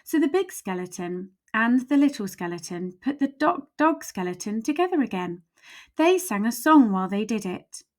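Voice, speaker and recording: A woman's voice: a little high, big pitch swings, normal speed. A woman storyteller reading a children's picture book aloud expressively, with emphatic, suspenseful phrasing.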